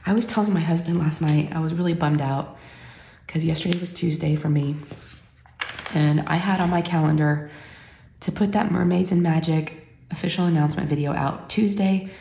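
Woman vlogger, hoarse from illness, deep scratchy voice, mellow, echoey room. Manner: chatty, rambling, folksy. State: hoarse and sick, catching her breath. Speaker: YouTube creator. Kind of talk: casual chat to viewers. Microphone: camera mic.

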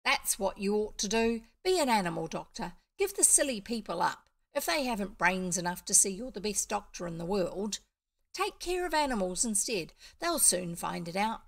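A woman's voice: mid-range voice, big pitch swings, moderate pace, gravelly. A woman voicing a clever, bossy female parrot in a storybook, speaking in an insistent, emphatic, instructive way.

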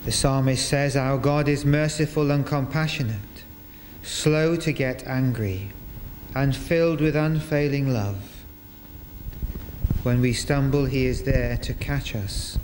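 An older man leading a church service, reading liturgy and prayers to a congregation in a measured, formal way, phrase by phrase. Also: mid-range voice, darkish tone. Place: inside a parish church, congregation present. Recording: echoey church hall with noisy ambience.

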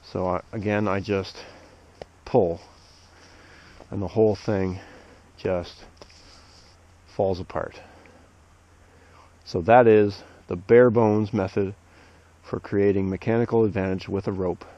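Man, arborist instructor, step-by-step demonstration, lower voice, muffled camera audio. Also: takes it slowly, long pauses. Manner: steady, plain step-by-step explaining. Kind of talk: hands-on knot demonstration. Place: outdoors among snowy trees. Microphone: head-mounted action camera.

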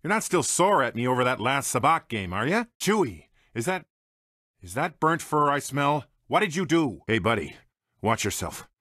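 A man's voice: mid-range voice, big pitch swings, moderate pace, fairly bright tone, no echo, studio quality. A man playing a brash, wry smuggler pilot with an American accent and a casual, wisecracking manner, in short video game lines. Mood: teasing, wary. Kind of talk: video game character voice clips.

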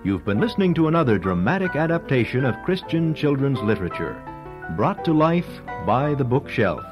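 Male radio announcer, lively intonation, scripted sign-off read over background music. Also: medium pitch, moderate pace.

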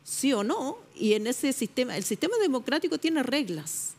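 A middle-aged woman journalist arguing her point on a television panel debate, emphatic and pausing often with fillers, recorded on a lapel mic in a studio. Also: sits high, sing-song, darkish tone. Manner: emphatic, searching for words with many fillers.

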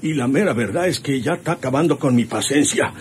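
A man's voice: mid-range voice, expressive, a little fast, lightly acted. A man playing a protective, hot-tempered older ranch hand, rustic and deferential, with a Mexican accent in a radio drama. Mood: threatening. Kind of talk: radionovela acting. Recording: noisy old radio serial recording.

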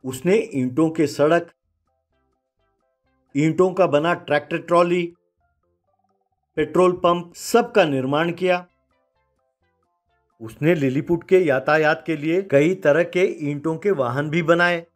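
A man's voice: on the high side, slow pace, pauses often, faint music behind. A man narrating a children's moral story in scripted storytelling style.

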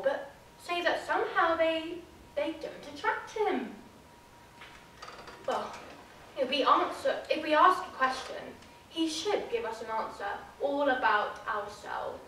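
A woman's voice: high voice, a bit bright, slight background noise, exaggerated voice. A woman performing a theatrical monologue as a witty, arch Victorian society lady, drawing out and savoring each phrase. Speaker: drama student performing a monologue. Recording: very echoey room, mic far off.

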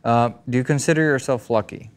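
A man's voice: mid-range voice, a little fast, clear, resonant tone. Man asking casual interview questions. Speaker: interview host. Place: indoor gallery space.

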